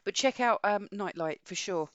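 A woman's voice: mid-range voice, moderate pace, no room sound, highs cut off. Woman chatting casually, rambling along. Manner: rambling, digressive. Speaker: podcast co-host. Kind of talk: casual book chat.

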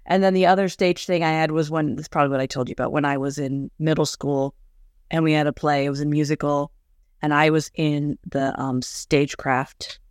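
Low-voiced woman telling chatty stories. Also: steady tone, fairly quick, a little mellow, smooth, no echo, clean studio sound. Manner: chatty, anecdotal storyteller. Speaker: podcast co-host. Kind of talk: casual chat.

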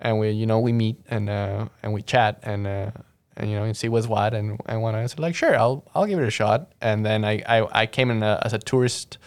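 A man's voice: fairly low pitch, a little fast, smooth. A young man from Mexico, a guest in a casual interview, telling his story in a rambling way full of fillers and restarts. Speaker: guest, audio engineer.

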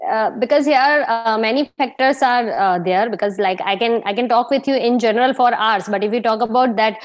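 A woman's voice: a little high, fairly quick. A woman from India with an Indian accent, working in sales and marketing, chatting over a video call and often repeating words. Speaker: sales and marketing professional, guest. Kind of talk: casual video-call conversation.

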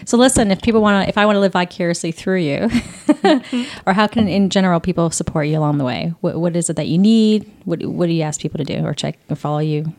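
A woman co-hosting a podcast, animated in casual chat, with quick reactions and some swearing. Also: on the low side. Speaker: podcast co-host.

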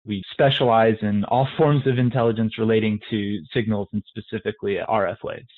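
A man from Colorado, a soldier guest answering interview questions in a calm, measured way. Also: fairly low pitch. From Colorado, United States. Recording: tinny phone-like remote line.

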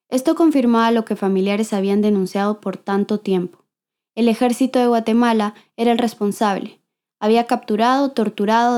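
A woman giving measured, scripted documentary narration as the host. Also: a little high, mellow.

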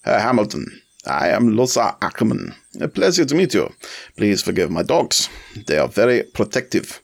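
A man's voice: fairly low pitch, sing-song, moderate pace, creaky, exaggerated voice. A man voicing a commanding German car dealer of about forty, with a German accent and a curt, domineering drill-sergeant manner.

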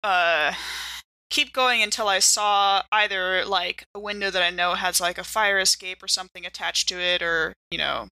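Very bright-voiced woman playing tabletop games. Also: on the low side, fairly even intonation, normal speed, no echo, rough recording. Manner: conversational, thinking aloud. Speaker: player in a role-playing game. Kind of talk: casual improvised tabletop actual play.

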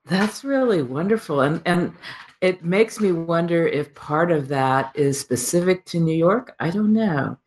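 A woman hosting a radio interview show, chatty and warm, laughing often and thinking aloud. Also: lowish voice, animated, a little slow. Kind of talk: casual interview chat.

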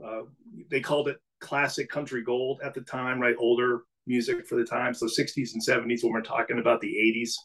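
Middle-aged New England man, relaxed guest with a rambling, easygoing delivery. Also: medium pitch, fairly quick, poor audio. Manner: relaxed storytelling with frequent restarts. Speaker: podcast guest, business CEO. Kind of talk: casual interview conversation.

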